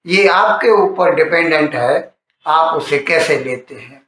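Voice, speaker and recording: A man with a high-pitched voice explains current affairs in a conversational monologue. He speaks slowly, restating phrases for emphasis like a storyteller. The recording rings with heavy room echo. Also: fairly bright tone. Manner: storytelling, repeats and rephrases for emphasis. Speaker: news commentator. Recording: strongly echoing, reverberant room.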